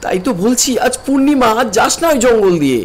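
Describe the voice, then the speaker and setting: A man's voice: very high-pitched, big pitch swings, fast, exaggerated voice. A man voicing an anxious, loving younger brother who pleads with his older brother to be careful. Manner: pleading, worried and affectionate. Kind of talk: Bengali cartoon voice acting.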